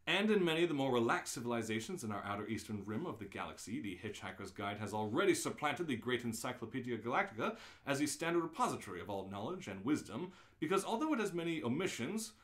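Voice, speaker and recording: A man hosting a casual, unrehearsed live show, talking and reading aloud in a rambling, jokey way. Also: mid-range voice. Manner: rambling, self-interrupting, jokey. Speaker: show host. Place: indoors in an office. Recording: roomy, echoey office.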